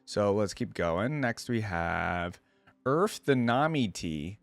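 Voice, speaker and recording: A man's voice: lowish voice, animated, slow, no echo. Man casually reacting, music behind. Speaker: reaction video host.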